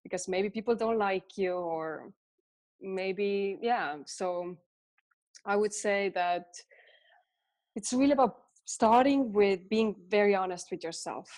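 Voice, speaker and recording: A young woman with an Estonian accent, a coach being interviewed, speaking reflectively, laughing easily and letting her phrases trail off softly. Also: medium pitch, takes it slowly, no room sound. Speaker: guest, women's coach. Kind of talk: conversational interview.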